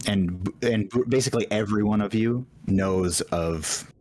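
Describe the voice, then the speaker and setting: A man narrating as dungeon master of a tabletop game, improvising in a conversational, halting way. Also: lowish voice, a little mellow, a little hiss or hum.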